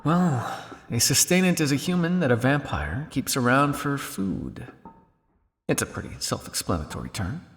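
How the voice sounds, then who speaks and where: Suave male vampire scientist, played by a man, cynical and condescending, gravelly creak, long reverb, ASMR roleplay. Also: mid-range voice, very animated, a little hiss or hum. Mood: probing, wry. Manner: teasing, condescending, drawling with theatrical pauses.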